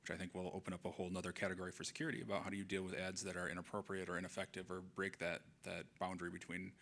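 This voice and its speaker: Male panelist, low and level voice, brisk, handheld mic on stage. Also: monotone, gravelly, a bit bright, slight background noise. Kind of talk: panel discussion. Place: event hall, on stage.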